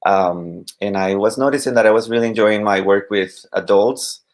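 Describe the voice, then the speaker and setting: A man in his thirties from Costa Rica with a Costa Rican accent, a Spanish teacher talking as a guest in a casual live-stream interview, halting with many fillers and restarts. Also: on the low side.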